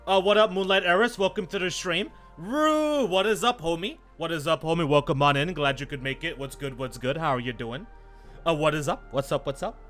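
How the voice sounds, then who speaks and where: High-pitched, bright-voiced man live streaming. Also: a little fast, soft background music. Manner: slangy, repeats himself, greets viewers mid-thought. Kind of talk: casual live stream chat and game commentary.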